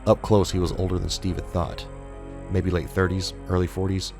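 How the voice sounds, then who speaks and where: Very deep, gravelly man narrating horror. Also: level tone, normal speed, over music. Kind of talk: scripted horror audiobook narration.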